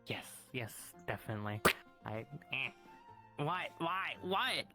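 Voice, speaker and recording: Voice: medium pitch, animated, slow pace, pushed and bright, faint music behind. A roleplaying newcomer chatting casually in character and asking questions.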